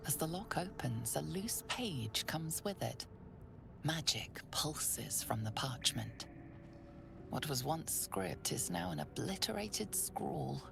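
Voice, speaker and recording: A solemn female storyteller for a fantasy game, very low and heavily creaky. She narrates slowly and mysteriously in a measured hush, with music behind her. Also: big pitch swings, exaggerated voice. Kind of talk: scripted second-person narration.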